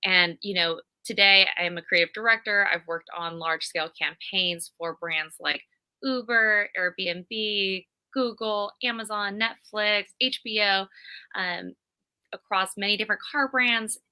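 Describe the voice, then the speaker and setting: A woman with a Californian accent speaks as an interview guest. Her voice is strikingly bright and forward, at a medium pitch, and she talks in a casual, conversational style. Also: a little slow, clean tone. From California, United States. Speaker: interview guest, creative director.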